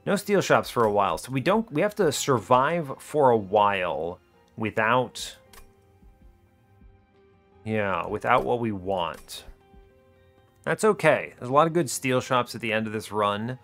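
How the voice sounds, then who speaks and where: Male gaming host, mid-pitched, casual and analytical, talking through decisions with faint music underneath. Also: animated, measured pace, long pauses, fairly bright tone. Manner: explains his reasoning as he plays, self-questioning. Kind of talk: casual strategy game commentary, thinking aloud. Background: music behind the voice.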